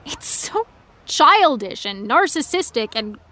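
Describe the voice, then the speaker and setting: A woman playing a frustrated, opinionated high school senior fangirl in a naturalistic audio drama, earnest and articulate. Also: very animated, slow, pushed and bright, heavily acted. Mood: indignant, passionate. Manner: earnest, articulate, indignant teen speech.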